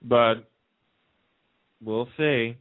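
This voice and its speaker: A man's voice: mid-range voice, steady tone, drawn-out words, clear, resonant tone, dry recording. Man on a thin phone line. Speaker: radio personality as interview guest. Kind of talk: casual chat about television.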